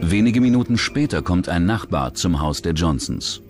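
A man reading scripted true-crime documentary narration in a measured, dramatic storytelling manner. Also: deep voice, expressive, faint music behind.

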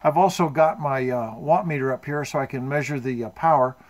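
A man's voice: medium pitch, brisk. A man in his seventies giving an informal, matter-of-fact technical show-and-tell of his homemade radio equipment in a workshop. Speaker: amateur radio hobbyist. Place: indoor home workshop.

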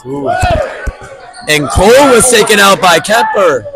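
A man doing live play-by-play commentary, with a raspy, hoarse voice from yelling, exclaiming often. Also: high-pitched, unhurried. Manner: excitable, lots of exclamations. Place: large indoor gym at a match. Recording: loud gym crowd and court noise.

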